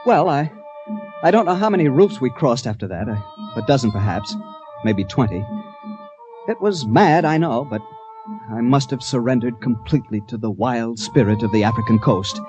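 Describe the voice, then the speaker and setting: An actor with an American accent playing an infatuated adventurer in an old-time radio drama, earnest and halting. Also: male voice, mid-range voice, expressive, dull audio, music in the background, lightly acted. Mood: dreamy, haunted. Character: infatuated ship's captain turned brawler, recalling his wild adventure. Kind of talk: old-time radio drama acting with first-person narration.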